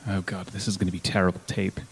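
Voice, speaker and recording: A man's voice: deep voice, level tone, quick pace, vocal fry, slightly rough. A man taking part in a tabletop game as a player, improvising narration in a casual way.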